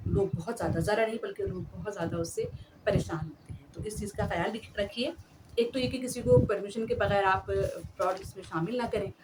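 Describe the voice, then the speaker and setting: A woman hosting an etiquette talk, speaking earnestly and politely to her listeners. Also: mid-range voice. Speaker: host. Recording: distant mic with clear background noise.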